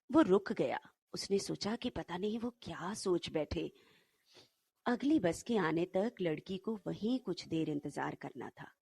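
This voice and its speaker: A woman narrating a short story in an unhurried, expressive, dramatic storytelling manner. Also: on the low side, husky, dry recording.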